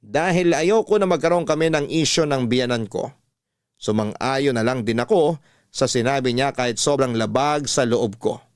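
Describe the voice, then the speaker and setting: A man's voice: medium pitch, normal speed, no echo, studio quality. A man reading a listener's letter aloud in the first person on a radio story show, earnest and confiding. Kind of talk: scripted first-person narration.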